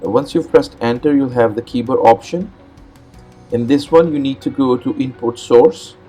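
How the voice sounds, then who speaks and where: A man whose first language is Urdu hosts a step-by-step computer tutorial, explaining in a relaxed, plain way with frequent "uh"s and repeated words. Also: mid-range voice, moderate pace, slightly rough, faint music behind. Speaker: host. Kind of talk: step-by-step screen tutorial narration.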